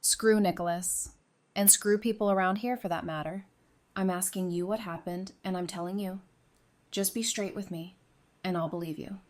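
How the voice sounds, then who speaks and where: A woman acting a candid, steady, protective survivor in an audio drama. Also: mid-range voice, moderate pace, lightly acted. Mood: blunt, supportive.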